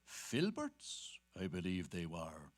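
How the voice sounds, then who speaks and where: Actor as a low-voiced, measured Dublin gentleman. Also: wildly swooping pitch, exaggerated voice. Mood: wry. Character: measured, dry-witted middle-class Dublin gentleman. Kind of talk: staged dramatic reading of a novel.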